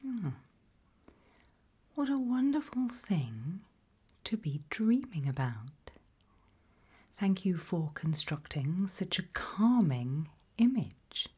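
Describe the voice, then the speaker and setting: A British woman whispering gently in an ASMR roleplay as a calm, attentive sleep clinic practitioner, reassuring and soothing. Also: fairly low pitch, slow, long pauses. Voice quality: breathy, whispered.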